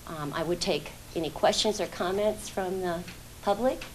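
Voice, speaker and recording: Lower-voiced woman presenting at a meeting. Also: normal speed, slight background noise, low-quality recording. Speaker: housing authority staff presenter.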